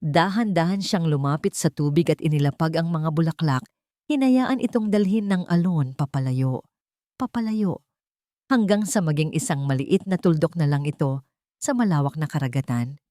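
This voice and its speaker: Female story narrator, Filipino accent, scripted drama, slightly low register with very varied intonation. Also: animated, normal speed, dark tone, no room sound.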